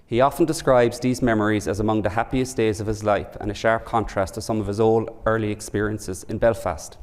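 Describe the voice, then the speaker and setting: A man in his forties with a Cork Irish accent, giving a formal, measured lecture as a professor from a prepared speech. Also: fairly low pitch, fairly even intonation. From Ireland. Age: 40s. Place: large lecture hall. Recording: reverberant lecture hall.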